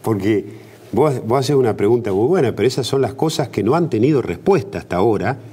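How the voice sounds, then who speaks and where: Argentine politician guest, middle-aged man, animated pitch, slightly husky, argues with rhetorical questions. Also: a little fast. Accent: Argentine Spanish. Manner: emphatic, rhetorical questions, frequent restarts and fillers. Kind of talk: TV interview.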